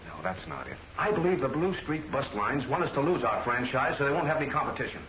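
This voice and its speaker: An actor playing an earnest, worried company president, speaking formally in 1940s film serial style. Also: male voice, medium pitch, expressive, fast, gravelly, a bit bright. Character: earnest, worried bus company president pleading his case. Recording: echoey old film soundtrack with hiss.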